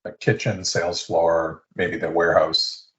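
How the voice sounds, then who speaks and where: A male interview host speaks in a low voice with a casual, tentative way of asking things. The recording is echoey and a little dull. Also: level tone, unhurried, a bit bright. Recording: roomy, slightly dull sound.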